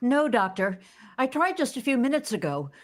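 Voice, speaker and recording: A woman's voice: high voice, very animated, moderate pace. A woman playing an obliging hospital assistant in a vintage-style radio drama, deferential and helpful.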